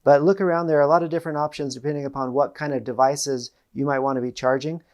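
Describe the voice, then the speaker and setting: A man's voice: on the high side, fairly even intonation, quick pace, darkish tone. A middle-aged American man hosting a product demonstration to camera, explaining things in a plain-spoken way. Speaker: host. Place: small indoor room with panelled wall. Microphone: lapel mic.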